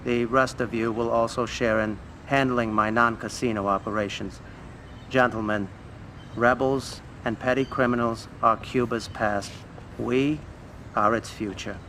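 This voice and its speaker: A man's voice: medium pitch, steady tone, a little slow, sharp tone, a little hiss or hum. An actor playing an older, retiring mob financier who gives a formal toast to his partners. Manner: formal, speechmaking. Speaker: host of a toast.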